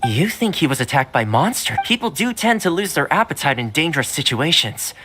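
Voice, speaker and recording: An actor playing a friendly, patient young man in a video game, chatting gently over game music. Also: on the high side, big pitch swings, a little fast, noisy background. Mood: earnest. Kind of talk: game dialogue acting.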